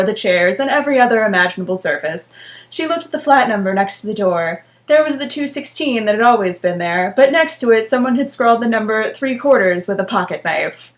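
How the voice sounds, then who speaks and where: A woman reading fiction dramatically and adding deadpan, mocking commentary as a host. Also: medium pitch. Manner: deadpan, mocking asides at own writing. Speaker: book vlogger and host.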